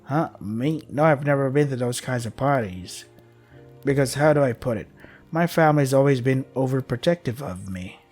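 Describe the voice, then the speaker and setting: A man's voice: medium pitch, expressive, moderate pace, darkish tone, soft background music, lightly acted. Hesitant, sheltered young man, a bit flustered when put on the spot, read by the narrator.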